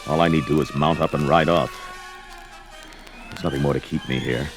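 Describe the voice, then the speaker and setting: An actor playing a gruff, domineering barbarian hero, bold and commanding, declaiming in archaic 1970s fantasy audio drama style. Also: male voice, rumbling low, fairly even intonation, moderate pace. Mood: grudging resolve. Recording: music behind the voice.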